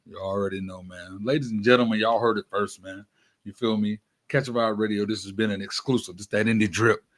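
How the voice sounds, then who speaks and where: A man's voice: fairly low pitch, steady tone, a little fast. Slangy man hosting. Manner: slangy, frequent check-in tags. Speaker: radio show host. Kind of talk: casual hype interview chat.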